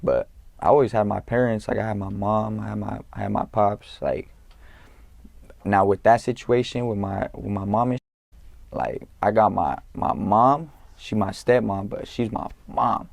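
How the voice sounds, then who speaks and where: Gravelly laid-back young man. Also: on the low side, darkish tone, dry recording. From Norwalk, California. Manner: laid-back street slang, halting with many fillers. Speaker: guest, rapper. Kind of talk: casual interview talk.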